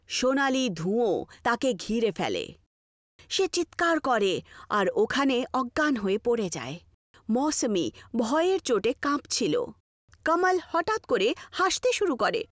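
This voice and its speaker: Female narrator, high voice, lively intonation, scripted horror storytelling, dry recording. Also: dry recording.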